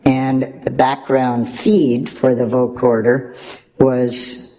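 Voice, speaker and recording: Guy doing sound engineer panel talk, slow and halting, explaining techy stuff. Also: mid-range voice, little pitch movement, warm tone, poor audio. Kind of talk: explaining technical work to an audience.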